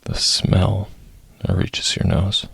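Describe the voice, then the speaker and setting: Soft low guy doing a guided meditation, super slow w long pauses, husky breathy voice. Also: sing-song, no echo. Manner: slow, soft-spoken, long pauses between short phrases.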